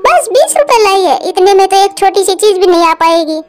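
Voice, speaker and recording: A woman's voice: extremely high pitch, expressive, normal speed, faint music behind. A woman voicing a bossy, teasing young girl bird in a cartoon. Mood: mocking.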